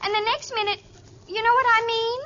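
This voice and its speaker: A woman's voice: extremely high pitch, fairly even intonation, a little fast, bright, forceful tone, lo-fi sound. A woman playing a flustered, tearful teenage girl in an old radio comedy, on a noisy recording. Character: flustered, tearful teenage girlfriend, near panic.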